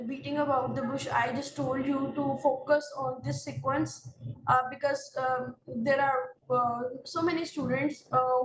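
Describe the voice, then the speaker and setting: A high-pitched woman with a Pakistani English accent gives earnest, direct coaching in the second person, often pausing on fillers. She sits back from the mic in a reverberant room with faint background ambience. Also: far from the mic, noisy background. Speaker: exam prep instructor. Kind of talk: spoken advice to exam candidates.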